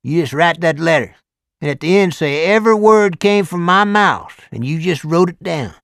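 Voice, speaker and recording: A man voicing a hulking, tattooed male convict with a Deep South accent, talking in a coarse, bossy drawl. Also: lively intonation, moderate pace, fairly bright tone, no echo, clean studio sound.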